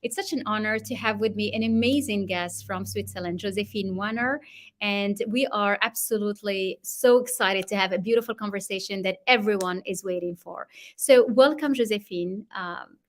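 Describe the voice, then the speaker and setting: A woman's voice: mid-range voice, normal speed. Effusive woman hosting a live interview. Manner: effusive, welcoming, with frequent restarts. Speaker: host.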